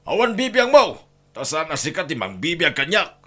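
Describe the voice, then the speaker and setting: A fairly high, very animated male voice makes this domineering Ilocano husband acted by a man gruff and scornful. He is callous and short-tempered, in a family radio drama style. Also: normal speed. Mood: angry, bullying.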